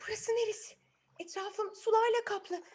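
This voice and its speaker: A woman's voice: very high voice, fairly even intonation, normal speed, a little mellow, a little hiss or hum, pitched above the performer's own voice. A woman voicing a tiny, innocent young girl, frightened and pleading in a childlike way, in a children's storybook tale. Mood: bewildered, frightened.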